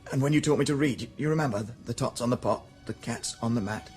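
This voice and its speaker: A man's voice: medium pitch, fast talker, darkish tone, close to an everyday voice. An actor playing a grieving young man at his mother's deathbed in a radio drama, pleading and emotional. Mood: tender. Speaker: the Savage. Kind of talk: 1990s radio drama acting. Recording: radio drama with music underneath.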